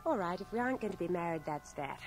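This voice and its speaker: A woman's voice: on the low side, lively intonation, fast talker, lightly acted. Actress doing a playful, blunt young woman in an old radio play, voice swoops around a lot. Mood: exasperated, affectionate. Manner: teasing, direct, articulate. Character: forthright, playful, affectionate young woman, wartime nurse and lover. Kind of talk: 1980 radio drama acting. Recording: cassette transfer of radio drama, faint music.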